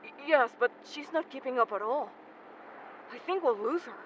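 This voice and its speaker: A woman's voice: high-pitched, animated, moderate pace. A woman acting a nervous, earnest young man who speaks hesitantly in an audio drama. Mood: alarmed. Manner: stammering, hesitant. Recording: muffled, vehicle noise behind.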